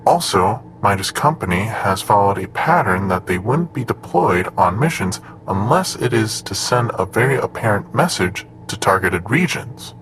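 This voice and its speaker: A man playing a composed android assistant in an audio drama, speaking in a clinical, measured, matter-of-fact manner. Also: on the low side, expressive, fairly bright tone, slight background noise, low-quality recording. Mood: clinical, informative. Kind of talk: scripted audio drama dialogue.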